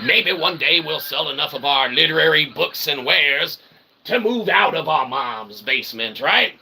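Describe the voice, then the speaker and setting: A very bright, forceful man rambles through a livestream in roundabout, mock-formal phrases. His pitch swings in a lively way and carries a gravelly fry. There is some noise in the background as he checks in with okay and right. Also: normal speed. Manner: often tags sentences with "okay" and "right". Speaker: livestream host. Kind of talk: rambling improvised livestream chat with viewers.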